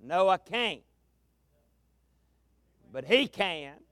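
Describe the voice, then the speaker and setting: Southern preacher man at a revival, high gravelly voice, dry close sound, drawls it out. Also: very animated, bright, forceful tone, no echo. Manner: folksy, drawn-out preaching cadence. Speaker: pastor, guest preacher. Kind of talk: revival sermon. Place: large tabernacle revival meeting. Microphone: amplified mic at a pulpit.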